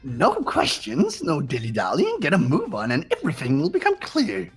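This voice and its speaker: Casting: small evil mascot bear, husky, wildly swooping pitch, mock-wounded and gleefully taunting, game villain. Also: wildly swooping pitch, moderate pace, faint music behind, full character voice. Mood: gleeful scheming. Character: evil little mischievous bear monster, gleefully mocking villain. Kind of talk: fan-dubbed video game character voice.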